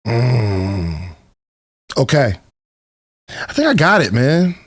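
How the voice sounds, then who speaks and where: A man's voice: mid-range voice, big pitch swings, drawn-out words, no room sound, clean studio sound. A man hosting a podcast, interviewing and chatting in a casual, conversational way.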